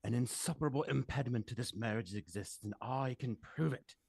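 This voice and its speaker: A man acting a forceful, accusing gentleman in a period radio drama, emphatic and declamatory. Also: medium pitch, a little fast, gravelly, slightly rough, no echo. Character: forceful, accusing gentleman exposing a secret marriage.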